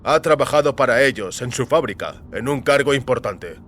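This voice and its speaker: A man's voice: mid-range voice, expressive, moderate pace, fairly bright tone, lightly acted. Stern male interrogator, voiced by a male narrator, audio fiction, insistent and clipped, over background noise. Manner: pressing, clipped questioning.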